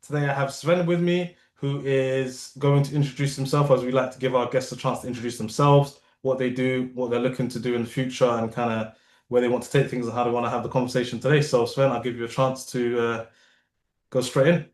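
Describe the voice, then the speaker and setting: A man's voice: medium pitch, little pitch movement, a little fast, a little mellow, roomy sound. A male podcast host from the United Kingdom who talks casually and loosely, asking rambling questions with fillers over a video call. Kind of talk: casual interview hosting.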